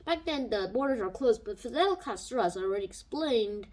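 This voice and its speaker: A woman's voice: a little high, lively intonation, a little fast, darkish tone. A woman giving a casual spoken book review into a home microphone, rambling and thinking aloud.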